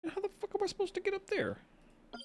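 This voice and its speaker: A man's voice: very high-pitched, huge pitch swings, quick pace, gravelly, a little mellow. A man livestreaming a video game, giving casual, wry commentary in muttered asides. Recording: game noise behind voice.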